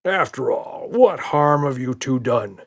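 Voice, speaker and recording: A man's voice: mid-range voice, animated, slow pace, gravelly, voice pushed up, exaggerated voice. A man voicing a stout, friendly monster in a children's audiobook, chummy and full of confidence. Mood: gallant. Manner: jovial, chummy, self-assured.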